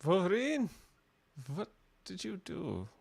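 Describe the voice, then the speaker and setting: Woman playing raspy, excitable superheroine. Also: medium pitch, slow, exaggerated voice. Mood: dismayed. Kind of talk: live radio theatre read.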